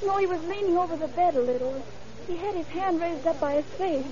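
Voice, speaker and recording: Very high-voiced shaken woman. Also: crowd noise. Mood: shaken, frightened. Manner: halting, stammering, repeats words. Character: shaken female hospital patient recounting an attack. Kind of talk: 1930s radio acting. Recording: dull old radio recording, murmuring background.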